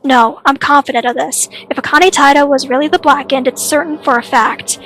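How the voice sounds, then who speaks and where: A woman's voice: high voice, steady tone, fairly quick, fairly bright tone, low-quality recording. A woman voicing a cold, uncompromising young student leader in a drama, argumentative and stern. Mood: stern, accusing.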